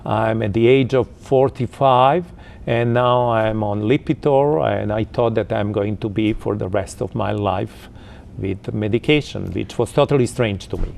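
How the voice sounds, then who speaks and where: A man in his fifties from Croatia with a Croatian accent, answering interview questions in a halting way with many fillers. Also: lowish voice, vocal fry, a little mellow. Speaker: interview guest.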